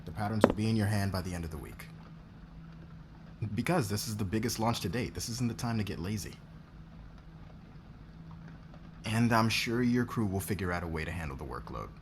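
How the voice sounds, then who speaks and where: Man playing a low-voiced, commanding fashion boss. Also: fast, close to an everyday voice. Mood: stern. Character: demanding male fashion boss, driven, coaxing, commanding. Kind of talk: ASMR roleplay audio drama. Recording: clear background ambience noise.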